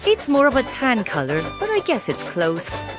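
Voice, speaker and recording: A woman's voice: a little high, wildly swooping pitch, normal speed. A woman voicing a sentimental, affectionate female railway coach in a comic dub. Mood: fond. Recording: loud music behind.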